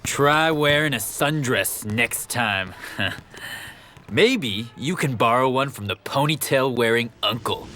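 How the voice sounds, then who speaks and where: A man plays a mocking teenage jock in a radio play. His delivery is unhurried and sharp, full of goading scorn. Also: mid-range voice, a little hiss or hum. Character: sneering dim teenage jock bully. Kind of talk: radio drama acting.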